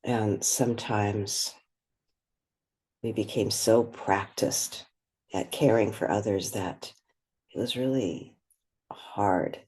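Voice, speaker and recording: A woman leading a guided meditation, speaking gently and without hurry, leaving long pauses between phrases. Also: rumbling low. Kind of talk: guided meditation, slow and spoken softly.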